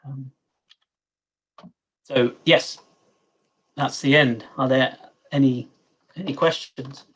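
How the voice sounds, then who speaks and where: A man giving a scientific presentation in an online meeting, halting and often repeating words as he explains. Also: medium pitch, fairly even intonation, fairly bright tone, slightly rough, low-quality recording. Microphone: online meeting microphone.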